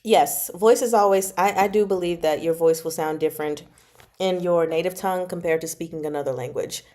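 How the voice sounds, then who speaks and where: A woman with a chatty, giggly, expressive way of talking, casually hosting a livestream book club. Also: fairly low pitch, brisk. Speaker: host of a book club reading stream. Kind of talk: casual livestream chat about a novel.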